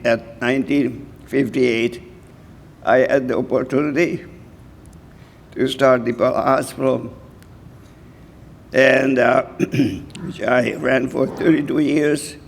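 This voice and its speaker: Man in his nineties from Hungary, accepting an award; unhurried, gravelly voice, halting delivery, long reverb of a hall. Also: mid-range voice, fairly even intonation. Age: 90s. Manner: slow, halting, frequent throat clearing. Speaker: award recipient. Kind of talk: acceptance speech.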